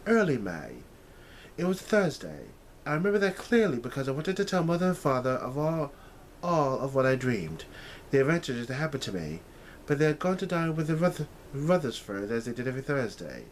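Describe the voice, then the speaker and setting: Man reading as proper young storybook heroine, raised pitch, excitable, earnest, musing aloud. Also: a little fast, slight background noise, natural voice. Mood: earnest recollection.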